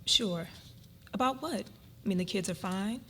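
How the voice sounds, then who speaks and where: A woman's voice: medium pitch, measured pace, a bit bright, a little hiss or hum, lightly acted. A woman playing an affectionate middle-aged wife and mother, chatty and easygoing, in a dramatic reading. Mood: chatty, cheerful.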